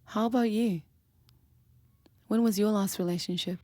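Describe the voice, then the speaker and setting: A woman playing a candid, hesitant young woman talking softly with a new lover in an intimate, naturalistic audio drama. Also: medium pitch, fairly even intonation, moderate pace, no echo, studio quality, close to an everyday voice. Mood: hesitant, reflective.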